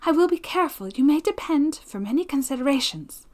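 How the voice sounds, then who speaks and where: A woman reading a storybook voices an impassioned, gentle young woman with breathy, anxious, formal period speech. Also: sits high, lively intonation, moderate pace, voice pushed up. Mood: gentle, earnest.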